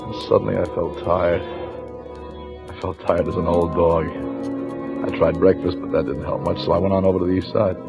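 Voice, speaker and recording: An actor playing a shrewd, weary police lieutenant in an old-time radio crime drama, with clipped colloquial delivery. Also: male voice, sits low, level tone, a little fast, creaky, long pauses. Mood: weary, narrating. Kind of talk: old-time radio crime drama acting, first-person narration and dialogue. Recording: dull vintage radio recording with music.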